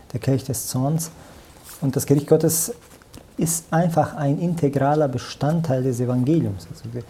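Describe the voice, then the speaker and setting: A man's voice: mid-range voice, warm tone. A man discussing the Bible casually, in a colloquial and emphatic way, often adding small tags for confirmation. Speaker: religious discussion partner.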